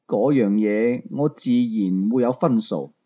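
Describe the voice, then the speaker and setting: Guy doing a calm bossy robed elder in an audiobook, hong kong cantonese, slow n low, husky. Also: muffled recording. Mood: calm, commanding. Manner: slow, measured, quiet.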